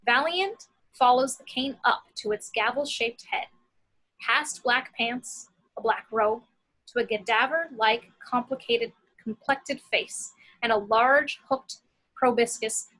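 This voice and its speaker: Bright, high-voiced woman narrating. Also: slow, low-quality recording. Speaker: narrator reading action descriptions. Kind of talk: scripted screenplay narration.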